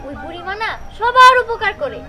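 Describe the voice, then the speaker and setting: A woman's voice: very high voice, lively intonation, fairly quick, a crowd in the background. A woman voicing a chatty, helpful young village girl who explains things reassuringly in a Bengali cartoon. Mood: eager explaining.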